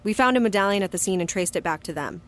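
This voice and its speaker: A woman's voice: mid-range voice, animated, fast, natural voice. An actress playing a guarded, defiant young woman in an audio drama, terse and dry in both narration and dialogue. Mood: defensive. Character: defiant, guarded young female monster hunter, narrating her story.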